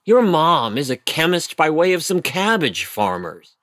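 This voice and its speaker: Middle-aged father, stern, indignant, highish voice, very animated, blunt, audio drama. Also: unhurried, no room sound, clean studio sound. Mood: indignant, bitter. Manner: blunt, emphatic storytelling. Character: stern, indignant immigrant-family father, engineer. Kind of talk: audio play acting.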